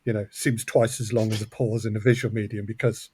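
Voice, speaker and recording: A man's voice: lowish voice, level tone, brisk, dark tone, breathy edge, dry recording. A man speaking as an interview guest, explaining his production work in a conversational way. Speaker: audio drama co-creator and producer, interview guest.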